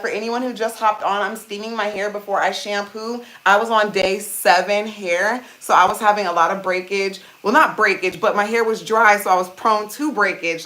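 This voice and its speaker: A woman with a deep voice hosting a casual hair-care livestream, chatting to viewers and calling them "you guys" while she steams her hair. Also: fairly bright tone. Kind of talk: casual live-stream chat reading comments. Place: indoors at home, seated on a small chair. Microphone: phone.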